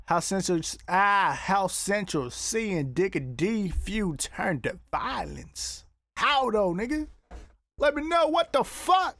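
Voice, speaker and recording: A man's voice: high-pitched, fairly bright tone, dry recording, studio quality. A man reacting casually on a livestream, slangy, profane and exclamatory. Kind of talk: casual live reaction chat.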